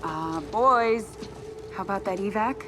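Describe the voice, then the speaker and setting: An actress playing a tough female psychic soldier giving a crisp military report. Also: medium pitch, a little slow, background noise, natural voice. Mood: urgent.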